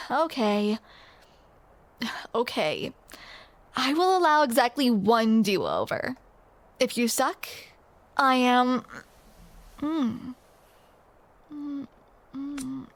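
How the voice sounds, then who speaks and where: Young woman, romantic audio roleplay: teasing and playful, high voice, unhurried. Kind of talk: scripted romantic roleplay spoken to the listener.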